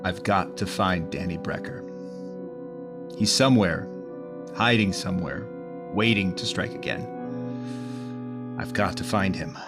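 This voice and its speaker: A man playing a brooding, insistent gentleman sleuth in 1930s radio drama style. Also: low voice, a little slow, pauses often. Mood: brooding, determined. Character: grave, determined gentleman sleuth. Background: loud music.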